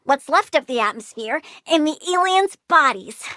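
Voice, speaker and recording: A woman playing a bubbly, bratty young plant-girl supervillain in a video game, childlike and menacing, flipping between gleeful chirps and sardonic deadpan. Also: high voice, moderate pace, no echo, studio quality. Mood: eager, proud. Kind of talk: video game character voice lines.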